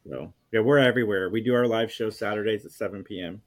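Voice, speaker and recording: Slightly low-voiced man in casual chat. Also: fast. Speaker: guest podcaster.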